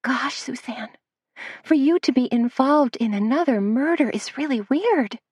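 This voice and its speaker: A woman's voice: unhurried, darkish tone, slightly rough, no room sound, studio quality. A woman reading the lines of a flustered young female assistant, her voice raised and words tumbling out. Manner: breathless, words tumbling out, higher pitched.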